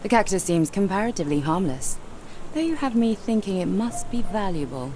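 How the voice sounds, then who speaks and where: An actress playing a polite, gently wry, reserved flower seller in a scripted game. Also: female voice, medium pitch, big pitch swings, brisk, background noise, lightly acted.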